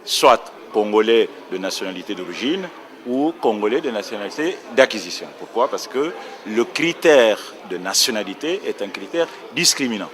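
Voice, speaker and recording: A man's voice: on the low side, sing-song, normal speed, fairly bright tone. A man explaining a legal point on a panel in a precise, lecturing way, correcting himself as he goes. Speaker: round table panelist on nationality law.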